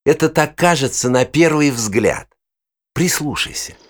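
A man's voice: mid-range voice, expressive, a little slow, noisy background, close to an everyday voice. A man playing a kindly, patient nature guide teaching a boy in a children's educational audio play. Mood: kindly, instructive. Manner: patient, instructive, storytelling. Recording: voice over clear birdsong ambience. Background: forest birdsong, cooing.

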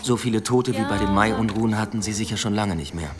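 An actor playing a curious but guarded vice detective in a German radio play, understated and wryly polite. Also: male voice, fairly low pitch, fast talker, over loud music, close to an everyday voice.

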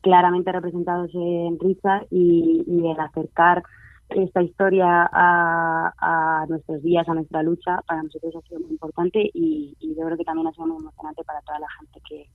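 A young man with a Spain Spanish accent speaks over a tinny, phone-like line. His voice sits high and dry. He talks earnestly, pausing on fillers and restarting his phrases. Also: vocal fry, dry recording. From Alcorcón, Spain. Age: young adult. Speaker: guest, member of a youth collective. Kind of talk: casual radio chat.

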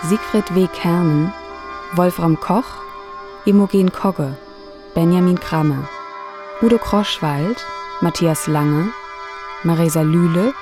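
Female radio announcer, formal scripted read, lowish pitch, slow pace, music bed. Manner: measured, formal. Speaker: credits announcer.

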